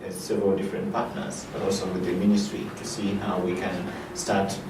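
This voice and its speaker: A man's voice: sits low, completely flat delivery, a little fast. A man who directs an arts foundation, answering interview questions with many fillers and restarts. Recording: echoey room, mic far off, noisy.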